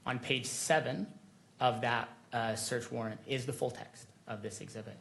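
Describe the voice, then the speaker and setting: A man speaking as an attorney in court, making a measured, deliberate formal argument. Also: mid-range voice, fairly even intonation, vocal fry, fairly bright tone. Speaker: attorney for a media coalition.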